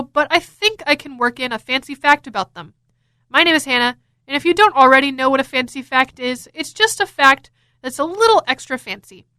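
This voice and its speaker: Bright, high-voiced young American woman DJ. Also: no room sound. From Florida, United States. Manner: enthusiastic, chatty. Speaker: radio DJ. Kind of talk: upbeat casual radio DJ talk. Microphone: close studio microphone.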